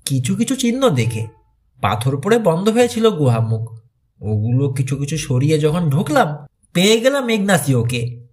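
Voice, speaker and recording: A man's voice: fairly high pitch, very animated, normal speed, a little mellow. A man voicing a friendly teenage boy in an audiobook, earnest and conversational. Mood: matter-of-fact, teasing.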